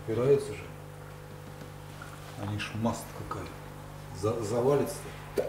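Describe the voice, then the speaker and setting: A man's voice: lowish voice, measured pace. A man chatting casually and informally indoors, in a questioning way. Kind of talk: casual chat. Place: indoors, room with fish tanks. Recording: echoey room with clear hum. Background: hum.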